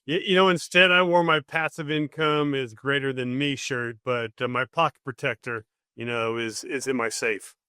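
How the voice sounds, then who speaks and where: A man appearing as an accountant guest on a podcast, blunt and plainspoken, with some halting restarts. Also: mid-range voice, steady tone, sharp tone, clean tone, no echo, clean studio sound. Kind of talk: podcast interview.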